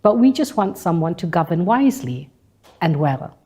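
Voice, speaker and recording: A woman speaks in a slightly low, dark and relaxed voice. She delivers a prepared spoken-word piece. Her phrasing is deliberate and measured, built on rhetorical repetition. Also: slightly rough. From Malaysia. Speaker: speaker delivering a personal monologue. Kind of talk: scripted spoken-word monologue.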